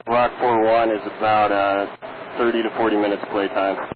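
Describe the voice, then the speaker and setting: A man's voice: on the low side, flat delivery, normal speed. A man speaking as a military fighter pilot in clipped, businesslike calls over a radio transmission. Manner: terse, businesslike. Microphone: cockpit radio link recorded off a scanner. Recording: narrow, static-laden radio scanner audio.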